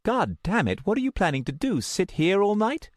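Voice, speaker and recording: A man's voice: high-pitched, very animated, moderate pace, no room sound, studio quality, voice pushed up. A man playing an exasperated, protective man in an audiobook story, insistent and reasoning with a snarky streak. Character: exasperated, protective man who can see the future.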